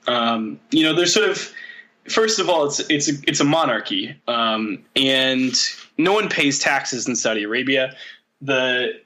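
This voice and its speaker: A man, a powerlifting coach, talking as a podcast guest in a relaxed, rambling way with many pauses. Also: mid-range voice. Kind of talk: casual podcast conversation.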